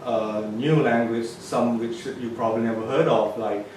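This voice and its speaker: A man's voice: medium pitch, steady tone, moderate pace, darkish tone, slight background noise. A man from Indonesia, a native Indonesian speaker, talking in a casual interview with frequent hesitant fillers. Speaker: guest, language learner. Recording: echoey room, mic far off.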